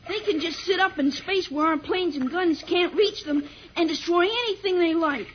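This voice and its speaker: A high-voiced bossy young boy. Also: normal speed. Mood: grim, excited. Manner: impatient, scolding, urgent. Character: bossy, impulsive boy in a space adventure. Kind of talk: old-time radio serial acting. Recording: dull, noisy vintage radio recording.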